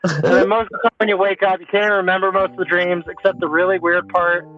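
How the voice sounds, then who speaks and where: A man's voice: high voice, flat delivery, fast talker. A man hosting a podcast in casual, slangy sports banter, often repeating phrases in a bro-ish way. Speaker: podcast host. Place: remote phone call. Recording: tinny phone line, faint music.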